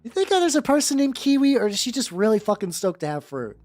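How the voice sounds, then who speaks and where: A woman's voice: on the high side, very animated, fast, darkish tone. A woman streamer with a playful, joking manner, chatting casually and reading fanfiction aloud for comic effect. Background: background music.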